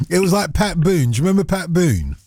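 A man's voice: high voice, very animated, a little fast, mellow, slightly rough, dry recording. A man hosting a late-night radio music show, chatting casually with a caller in a playful, wordy, teasing way.

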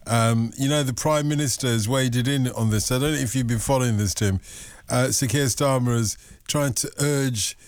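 A man hosting a late-night radio phone-in, teasing his callers and his guest with a jokey, quick-talking manner. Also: medium pitch, no echo. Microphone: studio microphone.

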